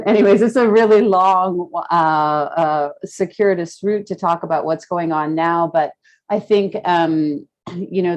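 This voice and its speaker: Low-pitched woman speaking in a meandering way. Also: a little mellow. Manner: hesitant, meandering, reflective. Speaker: guest speaker, gallery curator. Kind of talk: casual storytelling in an online talk.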